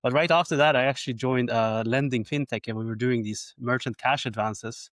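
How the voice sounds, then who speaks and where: A man telling his background as an interview guest, friendly and quick to laugh. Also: mid-range voice, fairly bright tone, no room sound, clean studio sound. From Sweden. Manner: friendly, laughs easily, repeats words. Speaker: guest, company co-founder. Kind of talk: interview answers.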